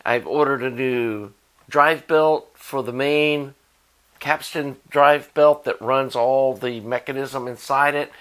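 A man's voice: medium pitch, fairly bright tone. Male, middle-aged, American accent. Informal, conversational hands-on repair host, talking to camera. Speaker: host of an electronics repair channel. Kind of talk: casual explanatory talk to camera. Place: indoor workshop at a workbench.

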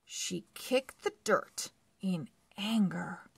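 A woman reads a children's story aloud at a very slow, careful pace. Her voice is breathy, with some creak at the edges. She places each phrase deliberately for young readers following along. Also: fairly low pitch, no room sound. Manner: deliberate, word-by-word phrasing with animated emphasis. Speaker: children's book read-along narrator. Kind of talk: slow expressive read-aloud for early readers.

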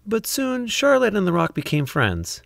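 A man reading a children's story aloud, expressively and deliberately with dramatic pauses. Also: high voice, wildly swooping pitch, vocal fry, no echo, clean studio sound. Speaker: storybook reader. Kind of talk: scripted children's story narration.

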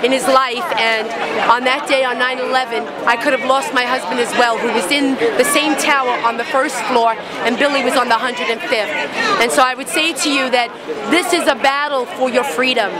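A woman's voice: high-pitched, fairly even intonation, moderate pace. A woman from a 9/11 family giving an earnest, personal street interview at an outdoor rally. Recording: loud rally crowd behind.

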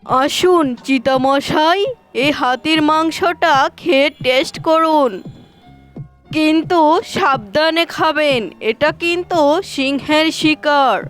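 A woman voicing a sly, flattering fox in a children's cartoon, with a wheedling, theatrical delivery. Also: high-pitched, slow, faint music behind. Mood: flattering, coaxing.

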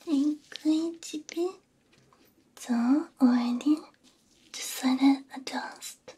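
A woman whispering a soothing ASMR roleplay, breathy and unhurried, very close to a binaural microphone. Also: high-pitched, a little hiss or hum. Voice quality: breathy, mostly unvoiced whisper. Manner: soft, soothing, unhurried with gentle murmurs. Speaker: ASMR VTuber playing a robot repairer. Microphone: close binaural ear microphone.

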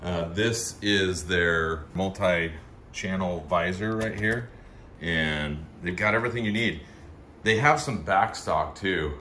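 A man's voice: sits low, takes it slowly, sharp tone. Chatty man touring a noisy shop. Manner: chatty, enthusiastic, pointing things out. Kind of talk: casual walkthrough narration. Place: large indoor parts warehouse and workshop.

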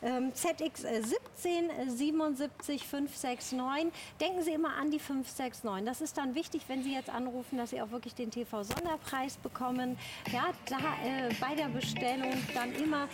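High-pitched woman hosting TV shopping. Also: moderate pace. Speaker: TV shopping host. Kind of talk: sales pitch, addressing viewers. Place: TV shopping studio.

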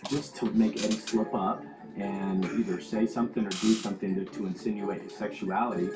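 A man's voice: fairly low pitch, level tone, a little fast, music in the background. Frank man in a TV confessional. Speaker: reality show contestant. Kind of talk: confessional interview.

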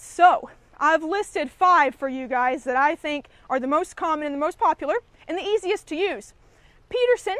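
A young woman teaches birding basics in a friendly, practical way, speaking straight to the camera. Her voice sits high and bright. It is close and dry, picked up on a clip-on mic outdoors. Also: no echo. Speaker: conservation educator. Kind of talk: outdoor how-to lesson. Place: garden yard outdoors.

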